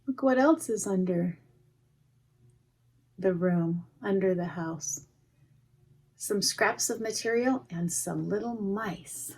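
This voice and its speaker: A woman reads a picture book to preschoolers at an unhurried pace. Her voice is a little husky, and she speaks in simple, expressive phrases with asides to the children. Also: medium pitch. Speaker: preschool education manager reading a storybook. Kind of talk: children's read-aloud with asides to listeners.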